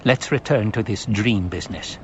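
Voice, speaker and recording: A man voicing a stern, probing old woman of a religious order, solemn and deliberate, in an audiobook. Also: lowish voice, lo-fi sound, noisy background, natural voice.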